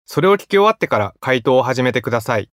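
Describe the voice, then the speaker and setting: A man reads exam instructions at a quick clip, his delivery formal, clear and even. The sound is dry and close, with no room around it. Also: mid-range voice, no room sound. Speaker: exam announcer. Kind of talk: scripted test instructions.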